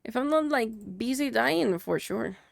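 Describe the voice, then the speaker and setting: A woman's voice: a little high, expressive, unhurried, vocal fry, no room sound. Dry, chatty woman streamer, Spanish native. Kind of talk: casual live-stream chat while working.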